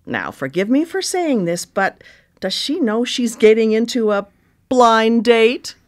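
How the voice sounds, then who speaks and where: A woman's voice: on the high side, big pitch swings, measured pace. A woman in a live radio drama playing a chef and sister with a dry, blunt, teasing way of talking. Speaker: supporting character in a radio drama.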